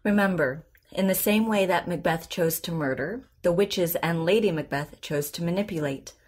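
A composed woman therapist in an audio drama. Her voice sits low and is a little husky. She questions carefully and patiently, with professional, measured phrasing. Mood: calm, firm. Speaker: actor playing a therapist. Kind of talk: naturalistic audio drama dialogue.

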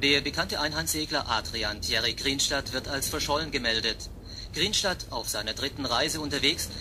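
A radio announcer acted by a man reads the news in a mid-range male voice. He is formal and factual, as in a bulletin heard inside a radio play. There is clear noise in the sound. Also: mid-range voice, close to an everyday voice.

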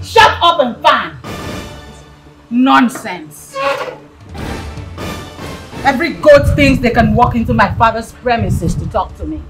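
A woman's voice: fairly high pitch, lively intonation, takes it slowly, long pauses, music in the background. A woman with a Nigerian accent playing a haughty, spiteful young princess in a screen drama, scolding and ordering people about with contempt. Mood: screaming, indignant.

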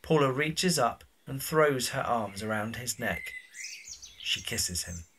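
A man's voice: medium pitch, lively intonation, slow pace, background noise. A man narrating scripted stage directions for an audio drama.

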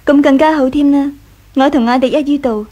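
A woman's voice: high voice, fairly even intonation, brisk, dark tone, lightly acted. A woman playing a gracious, composed young wife of a rich household in a Cantonese radio drama, with a Hong Kong accent. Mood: earnest, friendly.

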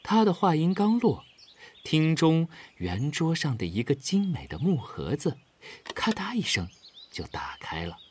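Highly animated male storyteller. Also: measured pace, a little hiss or hum. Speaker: story narrator. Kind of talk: expressive scripted children's story narration.

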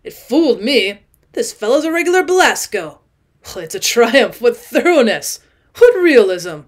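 A woman doing a loud, excitable drunk man. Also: fairly high pitch, moderate pace. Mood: excited drunk. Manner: loud, emphatic, rambling repetition. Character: stout drunk middle-aged man, excitable and insistent.

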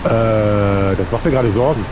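A man's voice: lowish voice, steady tone, normal speed. A man in his thirties from eastern Germany with a slightly scratchy voice from a cold, chatting casually to viewers while playing a game and thinking aloud. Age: 30s. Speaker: gaming channel host. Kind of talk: casual let's play commentary. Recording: loud engine and road noise.

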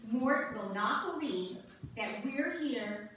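A woman plays a confident, mischievous wife in a stage play. Her voice is bright and unhurried, caught from far away in a very echoey church. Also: on the high side, animated. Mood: gleeful. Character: confident, mischievous wife crashing husbands' fishing trip. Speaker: amateur reader's theater performer. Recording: echoey church hall from far off, faint noise.

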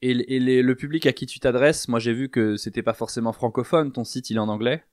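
A man's voice: medium pitch, fairly even intonation, fast, a little mellow, no room sound, studio quality. A man hosting a podcast interview, asking his questions with many hesitant fillers. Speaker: podcast host.

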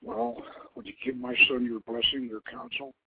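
A man's voice: medium pitch, flat delivery, moderate pace, heavy background noise, close to an everyday voice. A man voicing a dignified, reverent king and father in a storybook reading, speaking formally. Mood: anxious. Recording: muffled, thin phone-like radio line.

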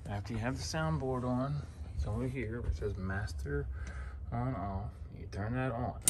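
A man's voice: mid-range voice, moderate pace. A man giving a casual hands-on walkthrough as an instructor, in short plain step-by-step instructions, recorded on a handheld phone in an indoor booth. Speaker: instructor demonstrating equipment. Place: auditorium control booth, indoors. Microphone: handheld phone filming the equipment. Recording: noisy handheld phone recording with room ambience.

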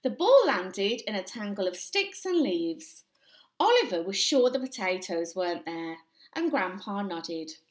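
A young woman reading a children's picture book aloud in an expressive, deliberate storytelling manner. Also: mid-range voice, roomy sound. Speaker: primary school story time reader. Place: small indoor room with bare walls.

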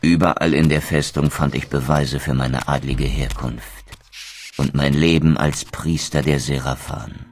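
Game narrator: noble vampire wraith, extremely low voice, brooding, solemn and measured, music underneath. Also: male voice, fairly even intonation. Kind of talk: scripted dramatic game narration.

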